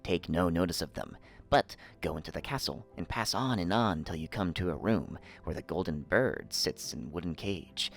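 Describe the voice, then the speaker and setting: A man's voice: low-pitched, normal speed, creaky, faint music behind. A man voicing a cunning fox from a storybook, a wise helper who gives counsel in an instructive, commanding manner. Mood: instructing. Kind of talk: storybook character voice.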